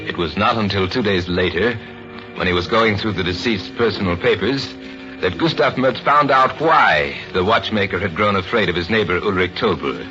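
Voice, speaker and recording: A man narrating an old-time radio drama in a formal, deliberate, portentous style. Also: sits low. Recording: dull old radio recording, music behind.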